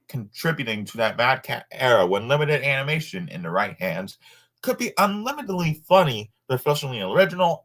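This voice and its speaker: Man reading aloud with lively, swinging pitch. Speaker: co-host reading a book aloud. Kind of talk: informal read-aloud of a nonfiction book with asides.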